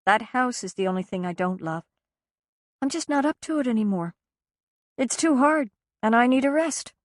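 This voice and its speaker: A woman voicing a worn-out mother who speaks softly but firmly to her grown son. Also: fairly high pitch, lively intonation, moderate pace, no echo, clean studio sound, voice pushed up, close to an everyday voice. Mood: tender. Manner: soft, firm, tired.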